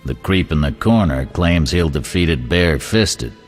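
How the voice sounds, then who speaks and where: An actor playing a gruff, scornful jail captain in a video game, giving orders. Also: male voice, a bass voice, expressive, moderate pace, faint music behind. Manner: commanding, scornful. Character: gruff, bossy adult male prison guard captain.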